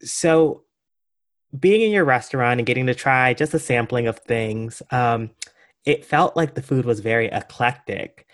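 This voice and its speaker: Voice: medium pitch, a little slow. A podcast host opening the show from a script and then interviewing a guest with an upbeat, eager manner. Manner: upbeat, enthusiastic.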